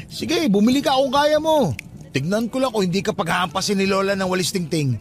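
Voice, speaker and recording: A man's voice: high-pitched, lively intonation, moderate pace, soft background music. A man acting in a radio drama as a teasing, skeptical young man who bantering casually brushes off superstition.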